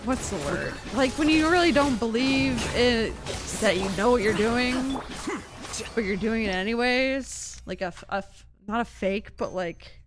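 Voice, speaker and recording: Lively, high-voiced woman streaming games. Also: a little slow. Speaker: livestream game streamer. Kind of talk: casual gaming livestream commentary. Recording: game music behind the voice. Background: video game music.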